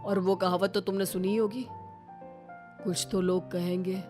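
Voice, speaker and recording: A woman's voice: mid-range voice, flat delivery, normal speed, dark tone, soft background music, voice pushed down, lightly acted. A woman voicing a devoted middle-aged husband in a sentimental family story, tender and earnest. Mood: reassuring.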